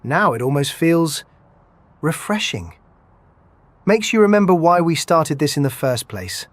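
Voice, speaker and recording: A man's voice: a little high, sing-song, unhurried, dry recording. An actor playing an easygoing, flirtatious male field doctor in an audio drama, wry and laid-back. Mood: wry, relaxed.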